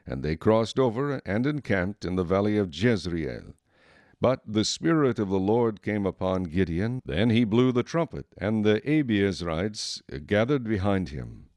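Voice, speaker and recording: A man narrating scripture from a script in a measured, formal manner. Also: on the low side, sing-song, darkish tone, no room sound, clean studio sound.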